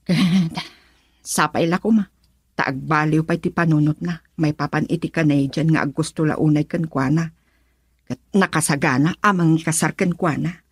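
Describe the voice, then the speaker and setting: A woman's voice: low-pitched, normal speed, husky, no room sound, clean studio sound. A woman playing a frank, reproachful female friend in radio drama dialogue, with an Ilocano accent. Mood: pointed, frank. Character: woman scolding her best friend's wavering boyfriend.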